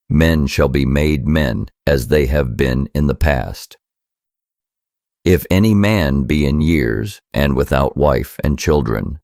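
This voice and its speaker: Very deep-voiced man reading scripture. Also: darkish tone, no echo, clean studio sound. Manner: measured, formal phrasing. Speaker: reader of a scriptural book.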